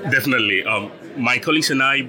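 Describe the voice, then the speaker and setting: A man's voice: mid-range voice, fast, bright, forceful tone, soft background music. A young man from Ghana, a startup founder, explaining his business as an interview guest, patient, with frequent laughs and repeated words.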